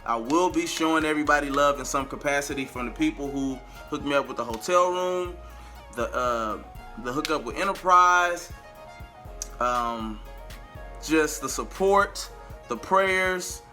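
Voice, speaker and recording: An American man telling a story in a casual, slangy vlog style, chatty and animated, with drawn-out emphasis and repetition. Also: a little high, soft background music. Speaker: vlogger and amateur singer.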